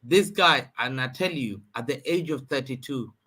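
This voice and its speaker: A man's voice: mid-range voice, animated, normal speed, bright, forceful tone, slightly rough. A man, probably in his thirties, arguing emphatically as a guest in a heated football debate on a livestream, often repeating phrases for emphasis.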